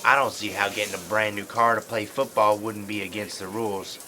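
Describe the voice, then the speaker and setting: A man playing an earnest, polite high school football player in an audio drama, sincere and mild. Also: steady tone, fairly quick, bright, forceful tone, slight background noise, low-quality recording.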